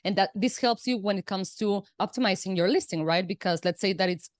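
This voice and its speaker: A woman's voice: medium pitch, fairly quick, no echo, clean studio sound. A woman whose first language is Spanish, speaking English as a guest in a casual interview, explaining at length with many "you know" and "right" fillers and restarts. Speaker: guest, Amazon seller.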